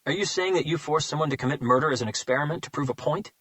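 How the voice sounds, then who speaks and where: Audiobook: defiant female agent, played by a male reader, pitched up. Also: racing, no echo, lightly acted. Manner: sharp and defiant. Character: angry, blunt female FBI agent.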